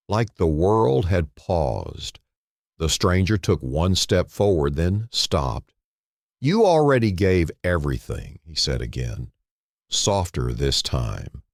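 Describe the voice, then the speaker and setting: Deep-voiced man narrating slowly and solemnly. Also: dry recording, clean studio sound. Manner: solemn, deliberate, short phrases with dramatic pauses. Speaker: storyteller, narrator. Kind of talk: dramatic scripted story narration.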